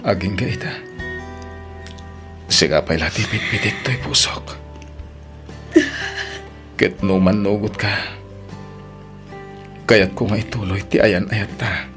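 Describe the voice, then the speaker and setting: A man's voice: lowish voice, takes it slowly, long pauses, a bit bright. A man playing a sober, sympathetic character in a family audio drama. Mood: tender. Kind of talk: radio-style drama acting. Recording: music bed under the voice.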